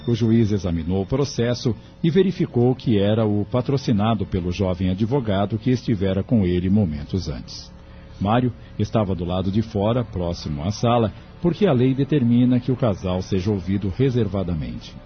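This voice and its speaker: A male narrator reads a scripted audio drama in a fairly low voice. The recording is slightly dull, and music plays faintly behind him. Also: expressive, moderate pace.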